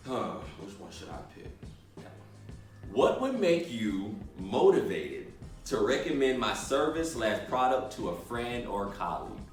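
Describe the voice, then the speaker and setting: A young man giving a casual motivational talk on entrepreneurship, telling stories and acting out voices. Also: on the low side. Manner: animated storyteller, acts out voices in anecdotes. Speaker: entrepreneurship coach. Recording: echoey room, distant mic, music behind.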